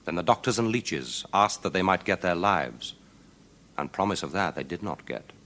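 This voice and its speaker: A man's voice: deep voice, lively intonation, normal speed, fairly bright tone. A man narrating a children's folk tale in a theatrical, declamatory and rhythmic way. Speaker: storyteller. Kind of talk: dramatic children's storybook narration.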